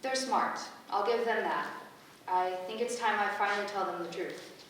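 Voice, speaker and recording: A woman playing a calm, motherly, goddess-like being with a stately, formal way of speaking, in a staged play reading. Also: medium pitch, moderate pace, lightly acted. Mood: resolved. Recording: echoey room, distant mic, background noise.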